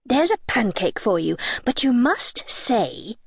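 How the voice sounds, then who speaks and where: A woman's voice: on the high side, sing-song, takes it slowly, vocal fry, breathy edge, no room sound, studio quality, heavily acted. A woman voicing a shrewish old stepmother in a storybook, scolding and commanding in a harsh, nagging manner.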